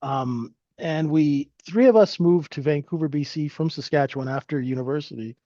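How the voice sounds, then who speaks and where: A man's voice: fairly high pitch, moderate pace, dark tone, no room sound. Chatty older-sounding man, dry muffled audio. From Canada. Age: 50s. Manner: rambling, friendly, lots of fillers. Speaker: guest trader. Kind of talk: casual interview storytelling.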